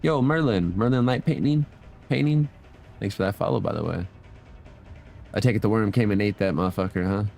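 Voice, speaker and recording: A man with a deep voice hosts a game stream in a casual, laid-back way. He talks in slang and swears freely. Faint music plays behind him. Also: brisk, vocal fry, long pauses, a little mellow. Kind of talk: casual live game commentary.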